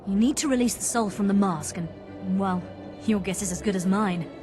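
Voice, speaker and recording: A wry, self-assured adventurer, played by a woman, gives advice in a dry, practical tone. Her voice is medium in pitch. Game music plays clearly behind her. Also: moderate pace, lightly acted. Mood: wry, explaining.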